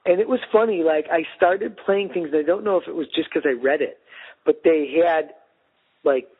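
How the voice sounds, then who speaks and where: Podcast co-host on a tinny phone call, husky and slightly high, talks in loose animated rambles. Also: male voice. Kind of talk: casual phone conversation.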